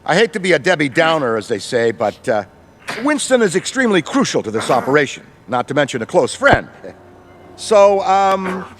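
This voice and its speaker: A man's voice: a little high, very animated, moderate pace. A man playing a wordy, awkwardly polite paranormal scientist in a video game, earnest and bookish. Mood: awkwardly pleading. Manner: verbose, bookish, hesitant. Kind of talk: video game cutscene acting.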